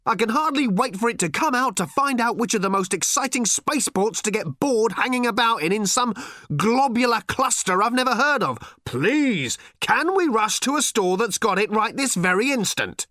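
Man playing exasperated, sarcastic ordinary man, swooping pitch. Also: sing-song, unhurried, a bit bright, no echo, clean studio sound. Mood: bellowing sarcasm. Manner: rambling, sardonic, prone to bellowing outbursts.

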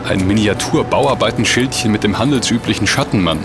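A man's voice: fairly low pitch, brisk, music in the background, lightly acted. An actor playing a well-read, sceptical young man who explains facts at length and distrusts the rich. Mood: explaining.

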